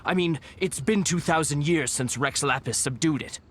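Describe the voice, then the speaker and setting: A man's voice: on the high side, normal speed, a bit bright. A man voicing a curious, matter-of-fact townsman in a video game, in a conversational way. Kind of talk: video game cutscene voice acting.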